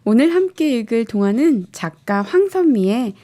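Woman storyteller explaining politely, highish mellow voice that moves around a lot. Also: big pitch swings, moderate pace. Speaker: storyteller, guest presenter. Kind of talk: book introduction in polite presentation.

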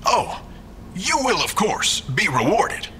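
Smug, swooping, callous boss. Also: male voice, high-pitched, very animated, slow, pushed and bright, a little hiss or hum, exaggerated voice. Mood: smug, mocking. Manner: theatrical, condescending, sardonic. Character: smug, callous male villainous boss, mockingly polite. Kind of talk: science-fiction audio drama acting.

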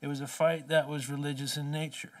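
A man in his forties giving an impassioned keynote speech at a podium, emphatic and rhetorical, with slow deliberate phrases. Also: on the high side, flat delivery. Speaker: keynote speaker. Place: large conference hall, at a podium. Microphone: podium microphone. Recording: distant conference hall sound.

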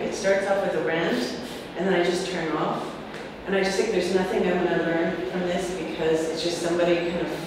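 Distant echoey woman rambling on a panel. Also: mid-range voice. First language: not Czech. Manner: rambling, filler-heavy, animated. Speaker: panelist in art discussion. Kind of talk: panel discussion. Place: room with curtains, seated on sofa. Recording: distant mic, echoey hall, noisy.

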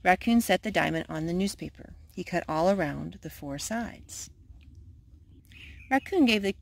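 A woman's voice: fairly low pitch, a little slow, gravelly. A woman reading a children's picture book aloud at storytime. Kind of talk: read-aloud storytime narration.

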